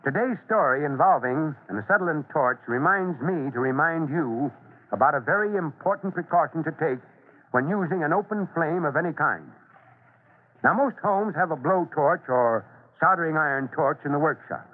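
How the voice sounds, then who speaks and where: A commanding American fire chief in an old radio adventure. His voice sits a little high and sounds fatherly and in charge. The recording is thin and narrow-band. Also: lively intonation, moderate pace. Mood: instructive. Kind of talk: old-time radio drama acting.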